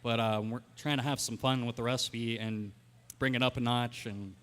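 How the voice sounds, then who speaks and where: Brief: man in a stage cooking demo, relaxed and plain, even delivery, smooth clear voice. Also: medium pitch, normal speed. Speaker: chef. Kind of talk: live cooking demonstration.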